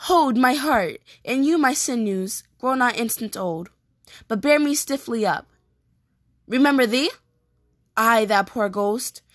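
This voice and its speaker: A woman playing an anguished, vengeful young prince in a declamatory Shakespearean stage monologue with dramatic pauses. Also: mid-range voice, no echo, studio quality, natural voice. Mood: anguished, appalled. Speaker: performer rehearsing a soliloquy. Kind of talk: theatrical Shakespearean monologue, repeated takes.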